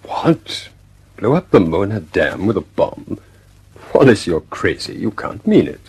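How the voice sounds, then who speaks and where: A man's voice: on the low side, sing-song, unhurried, darkish tone, breathy edge, heavily acted. An actor playing a blunt, sceptical British man questioning his colleague in a radio drama. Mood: incredulous. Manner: questioning, plain-spoken.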